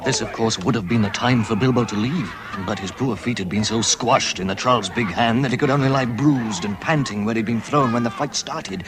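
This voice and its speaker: A man's voice: medium pitch, a little fast, few pauses, crowd noise, close to an everyday voice. A man narrating a scripted story in an audio drama.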